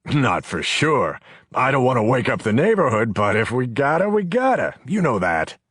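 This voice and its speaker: A man's voice: medium pitch, big pitch swings, normal speed, a bit bright, breathy edge, dry recording, studio quality, pitched above the performer's own voice. A man voicing a crude, scornful male gang leader who talks in a brash, mocking way. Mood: cocky.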